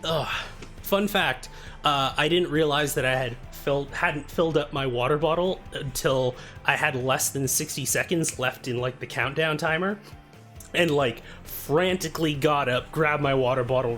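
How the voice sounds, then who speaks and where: A young man chatting casually on a live stream, greeting viewers by name and poking fun at himself, with a soft, soothing voice. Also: medium pitch. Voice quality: soft, told he sounds soothing. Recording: faint music behind.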